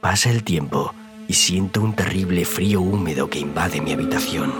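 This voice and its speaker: A man with a fairly low voice tells a scripted horror story in the first person. His delivery is theatrical and suspenseful. Loud music plays under the narration. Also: normal speed.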